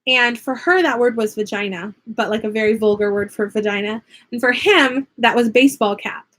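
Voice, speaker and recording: Chatty American woman, higher voice. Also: moderate pace, fairly bright tone. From United States. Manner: chatty, laughs often, goes off on tangents. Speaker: craft vlogger and online teacher. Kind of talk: casual chat while crafting.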